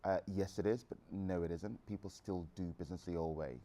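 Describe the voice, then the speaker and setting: Low-voiced man from England. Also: steady tone, brisk, mellow. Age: 30s. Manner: frank, rambling, with restarts. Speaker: guest entrepreneur speaker. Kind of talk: answering audience questions.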